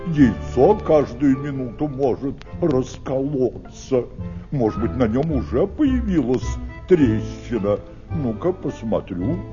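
A man playing a big, sad, weary father ostrich in an audio fairy tale, talking in a plaintive, drawn-out way. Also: mid-range voice, lively intonation, dull audio, music in the background. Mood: weary, fretful. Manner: sighing, sing-song humming, drawn-out plaintive talk.

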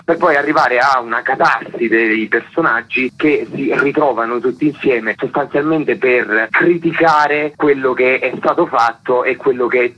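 A man's voice: medium pitch, pushed and bright, husky. A male playwright, a radio guest calling in by phone, explaining his play earnestly in long flowing sentences. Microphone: telephone. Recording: muffled phone line with faint noise.

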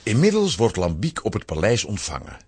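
Male narrator, children's audio drama, lively intonation, husky, close dry studio sound. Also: fairly low pitch, brisk, no echo. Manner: expressive storytelling. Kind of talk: scripted children's story narration.

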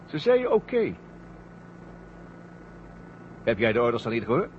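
A man playing an excitable, eager astronaut crewmate in a vintage science fiction radio play. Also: medium pitch, a little slow. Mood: urgent, hushed awe. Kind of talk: 1970 Dutch science fiction radio drama acting. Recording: muffled, noisy old radio play recording.

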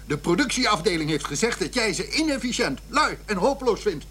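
A bossy fed-up manager in a radio play, high bright voice, blunt and sarcastic. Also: male voice, big pitch swings, a little fast, a little hiss or hum. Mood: stern. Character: stern, exasperated male company boss. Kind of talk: radio drama acting.

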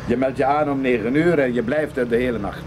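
Radio drama: male actor as hard-nosed agency boss, commanding, medium pitch, clearly noisy recording. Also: fast, natural voice. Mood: brusque, cynical. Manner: brusque, commanding. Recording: radio play with clear background noise.

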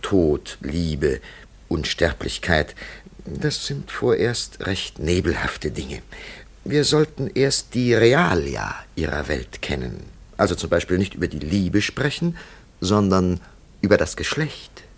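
A man's voice: sits low, very animated, takes it slowly, husky, full character voice. An actor in a German radio play as a courteous, sceptical intellectual man, wry and teasing, with precise, polite phrasing. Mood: politely probing.

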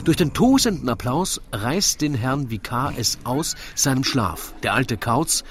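A man narrating a scripted rhyming story in a theatrical, deliberate storytelling manner. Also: mid-range voice, lively intonation, slight background noise.

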